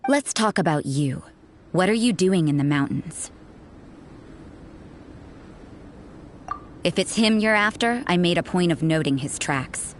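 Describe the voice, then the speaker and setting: A woman's voice: on the low side, expressive, normal speed, slight background noise, close to an everyday voice. A woman playing a cool, sardonic, suspicious church sister in a video game, with a dry, cynical, interrogating manner. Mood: cool, aloof.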